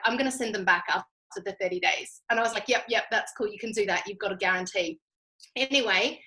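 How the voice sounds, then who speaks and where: A woman's voice: mid-range voice, bright, forceful tone, low-quality recording. An Australian woman giving a chatty, emphatic personal testimonial, speaking directly to her listeners. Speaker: guest speaker telling personal story. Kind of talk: conversational testimonial storytelling.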